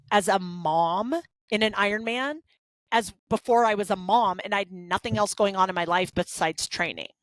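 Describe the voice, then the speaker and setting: A woman's voice: mid-range voice, fairly bright tone, no echo. A woman in her thirties co-hosting a podcast, talking candidly in a casual chat with many fillers and restarts. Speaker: podcast co-host.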